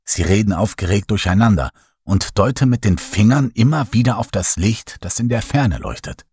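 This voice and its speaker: A man narrating a first-person horror story as an audiobook, in a slow, hushed, dramatic way. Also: fairly low pitch, lively intonation, breathy edge, dry recording, studio quality.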